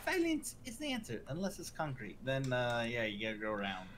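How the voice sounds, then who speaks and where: A person joking with teasing, deadpan banter alongside a gameplay stream. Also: medium pitch, fairly quick, slight background noise. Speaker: co-player in chat.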